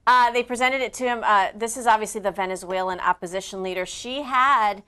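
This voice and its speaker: A woman from the Midwest co-hosting a casual political talk show, chatty and quick to laugh. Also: mid-range voice, sharp tone, smooth. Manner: chatty, laughs often, drifts between topics. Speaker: co-host.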